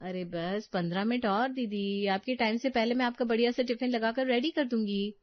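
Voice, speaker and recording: A woman's voice: on the high side, fairly even intonation, moderate pace, dull audio, natural voice. A woman voicing a meek, dutiful young daughter-in-law in a family story, polite and placating.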